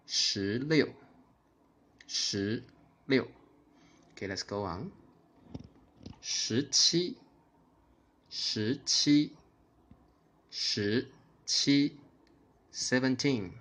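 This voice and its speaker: A man's voice: medium pitch, a bit bright. A man teaching a language lesson, patiently repeating each number word by word for learners to copy. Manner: slow, patient repetition, drilling each word.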